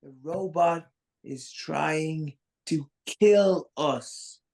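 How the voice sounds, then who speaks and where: An actress in a dramatic table read playing a panicked, incredulous person, stammering and exasperated. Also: female voice, low voice, drawn-out words, dark tone, full character voice. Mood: frantic, exasperated. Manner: stammering, exasperated, foul-mouthed.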